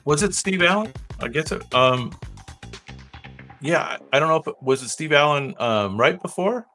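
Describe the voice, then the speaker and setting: A man's voice: fairly high pitch, expressive, moderate pace, sharp tone, faint music behind. A man hosting a casual live trivia show, reading out facts and adding chatty, rambling asides.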